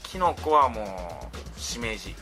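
A man's voice: lowish voice, big pitch swings, measured pace, pushed and bright, soft background music. A man hosting a casual comedic podcast chat, conversational. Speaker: podcast host.

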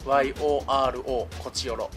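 A man's voice: medium pitch, steady tone, a little fast, fairly bright tone, soft background music. A man with a Kansai accent co-hosting a podcast in casual, blunt, jokey banter. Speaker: podcast co-host and comedy writer. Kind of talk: casual chat.

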